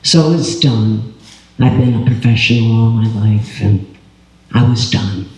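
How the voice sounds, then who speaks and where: Older retired singer, boomy voice, slow wry delivery; heavy room echo. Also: male voice, darkish tone. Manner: wry, self-deprecating. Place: public panel event room. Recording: strongly echoing hall.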